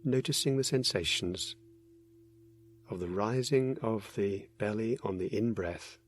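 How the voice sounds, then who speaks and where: A man narrating a guided meditation, giving gentle, unhurried instructions with many pauses. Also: on the low side.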